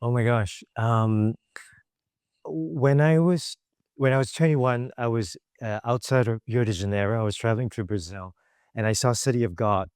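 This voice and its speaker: Malaysian man chatting in a smooth voice. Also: mid-range voice, normal speed, a little mellow, no echo, studio quality. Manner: chatty, many fillers and laughs. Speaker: guest, visual artist. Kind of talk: casual interview answers.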